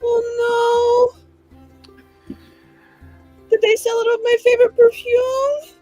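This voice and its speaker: Woman doing a super high girly noblewoman, plaintive, takes her time, tabletop game. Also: flat delivery, slow, a little mellow, soft background music. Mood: dismayed. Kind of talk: tabletop roleplay, in character.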